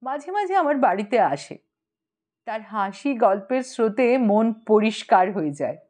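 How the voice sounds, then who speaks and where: Slow, high-voiced woman storyteller. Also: very animated, a little mellow. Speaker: audiobook narrator. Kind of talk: storytelling narration of a humorous short story.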